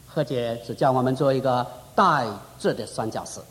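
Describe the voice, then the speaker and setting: A man's voice: medium pitch, fairly quick, a bit bright. A man preaching a fervent sermon at a church conference. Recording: reverberant hall.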